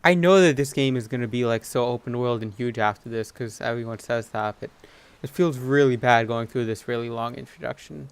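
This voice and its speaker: A man hosting a gaming video with casual, low-key commentary, at times reading game text aloud. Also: fairly quick, few pauses, smooth. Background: game music.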